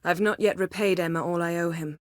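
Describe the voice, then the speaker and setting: An actress playing a proud, sharp-tongued, guarded sorceress in a video game, speaking in a cool, clipped, dryly sardonic way. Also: female voice, lowish voice, steady tone, brisk, no room sound, clean studio sound, close to an everyday voice. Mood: cool, composed. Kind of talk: scripted game dialogue acting.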